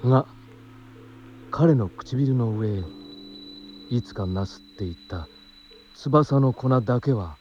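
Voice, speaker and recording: An actor playing a solemn reader of literary prose in a radio play, measured and pausing between phrases. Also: male voice, medium pitch, mellow, lightly acted. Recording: dull sound with faint background noise.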